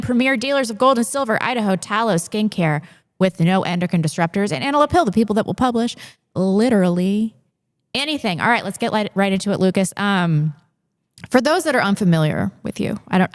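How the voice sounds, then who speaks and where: A woman, chatting casually and interviewing as a talk show co-host. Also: mid-range voice.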